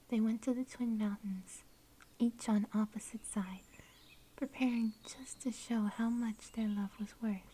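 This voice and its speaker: A woman voicing a shy, sweet young werewolf girl in an ASMR roleplay, speaking in soft, breathy whispers with a gentle, tender manner. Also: fairly high pitch, low-quality recording. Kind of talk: ASMR roleplay, intimate storytelling.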